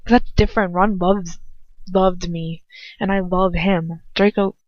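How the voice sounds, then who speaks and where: A woman's voice: on the low side, steady tone, a little slow, no room sound, highs cut off. A woman reading a story aloud as an amateur, expressively and informally, correcting herself and slipping into casual asides. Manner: informal, swears, self-correcting, jokey asides. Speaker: amateur fan fiction reader.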